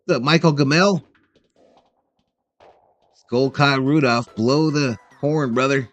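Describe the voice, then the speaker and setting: Man, live stream host, mid-pitched voice, casual fan play-by-play, chummy and folksy, music behind. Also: slow pace, a bit bright.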